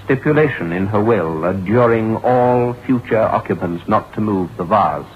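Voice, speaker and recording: Solemn deep-voiced man, an actor, in vintage radio horror. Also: animated, moderate pace, lightly acted. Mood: dread. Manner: solemn, formal, portentous. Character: grave male lawyer reading out a grim written account. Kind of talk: old-time radio acting, dramatic narration. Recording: dull, hissy vintage radio recording.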